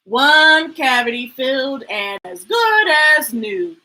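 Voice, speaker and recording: A woman's voice: high-pitched, big pitch swings, takes it slowly, pushed and bright, heavily acted. A woman voicing a good-humored, cheerful dentist in a storybook, upbeat and reassuring.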